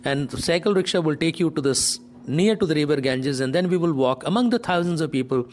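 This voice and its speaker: Tour director, explanatory. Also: male voice, fairly high pitch, lively intonation, a little fast, a little mellow, a little hiss or hum. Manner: explanatory, slightly nonstandard grammar. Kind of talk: on-location conversation.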